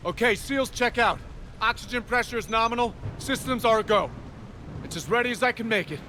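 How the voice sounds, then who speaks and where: A man playing a steady, practical military shuttle pilot in a video game, talking in a clipped, businesslike way. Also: extremely high pitch, a little fast, slight background noise. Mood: focused, businesslike. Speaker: pilot and mechanic. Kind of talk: video game cutscene acting.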